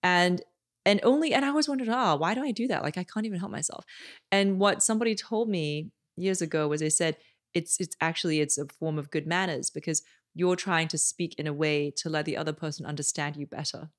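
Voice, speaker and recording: A woman in her thirties, an etiquette expert guest, talking in a measured, explanatory way and often checking in with "right?", recorded on a boom mic in a studio room. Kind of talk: interview conversation. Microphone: desk microphone on boom arm.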